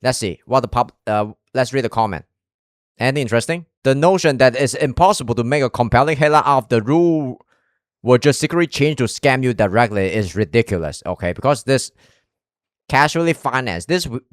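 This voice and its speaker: A man's voice: medium pitch, expressive, brisk, no echo. Young man, Malaysian accent, casual stream chatter, rambling, close dry mic. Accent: Malaysian English. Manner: rambling, restarts, swears and laughs often. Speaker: streamer reacting to a video. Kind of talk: casual reaction commentary.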